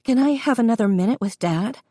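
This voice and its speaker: A woman's voice: on the high side, animated, brisk, mellow, no echo, clean studio sound, voice pushed up. A woman voicing a caring but firm grown daughter in an audiobook, plain-spoken. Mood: tearful, tender. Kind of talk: audiobook character dialogue.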